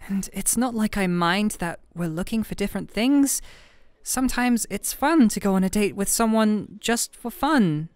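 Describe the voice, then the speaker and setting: A woman's voice: mid-range voice, expressive, normal speed, darkish tone, dry recording, close to an everyday voice. A woman playing a shy, flustered best friend with a hidden crush in an intimate audio roleplay, talking in a hesitant, teasing, conversational way. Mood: wry, weary.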